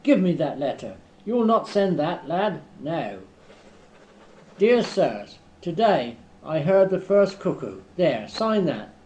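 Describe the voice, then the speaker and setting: A man's voice: fairly high pitch, big pitch swings, slow pace, a little mellow. A man in an amateur family radio-comedy reading, playing a bossy, scheming villain with a commanding manner. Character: bossy, scheming male villain giving orders. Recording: dull, noisy old 1970s cassette tape.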